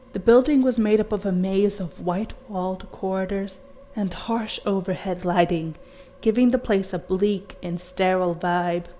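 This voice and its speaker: A woman's voice: medium pitch, mellow. A woman reading a scripted first-person horror story as narrator. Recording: audible background noise, amateur recording.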